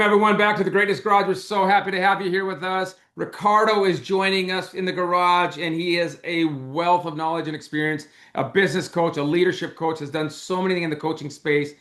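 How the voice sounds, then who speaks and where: Enthusiastic podcast host, higher-pitched man. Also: fairly bright tone. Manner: enthusiastic, stumbling restarts. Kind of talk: casual podcast hosting.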